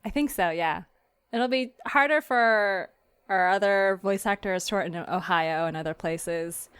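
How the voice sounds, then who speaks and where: A woman's voice: medium pitch, measured pace, a bit bright, clear, resonant tone, no room sound. A woman hosting a podcast in a casual, chatty style, with a giggly manner. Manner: giggly, laughs often. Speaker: fiction podcast creator and host. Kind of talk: casual chat.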